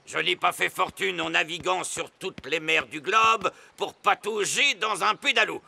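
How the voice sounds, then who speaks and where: A man's voice: high-pitched, measured pace, creaky, sharp tone, exaggerated voice. A man voicing a proud, pompous, hearty sea-captain dog in a children's cartoon.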